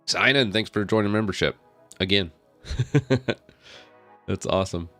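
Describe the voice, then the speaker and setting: A man, slightly raspy from a head cold, talks casually on a live stream, thinking aloud as he works with lots of fillers and small laughs. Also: on the low side, slow, sharp tone, faint music behind. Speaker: tech streamer. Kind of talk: casual live stream talk while working on screen.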